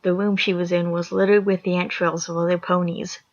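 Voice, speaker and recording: A young woman with a raspy voice, reading a horror fan story aloud, rambling and apologetic with chatty asides. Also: fairly low pitch, level tone, fairly quick. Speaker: story reader. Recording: lo-fi dull recording.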